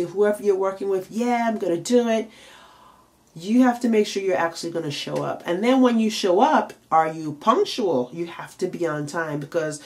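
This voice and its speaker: A tired, congested woman giving chatty career advice to camera as a makeup and nail artist, with plenty of fillers. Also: medium pitch. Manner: chatty, frequent fillers, emphatic rhetorical questions. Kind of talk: casual advice vlog to camera.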